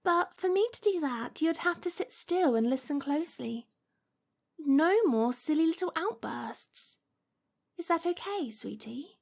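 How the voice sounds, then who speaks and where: Woman acting a high-voiced, lovestruck possessive witch. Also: animated, measured pace, gravelly, exaggerated voice. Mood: smug, coaxing. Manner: cooing, coaxing, condescending with pet names. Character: lovestruck possessive witch, sweetly menacing and obsessive. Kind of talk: ASMR roleplay acting.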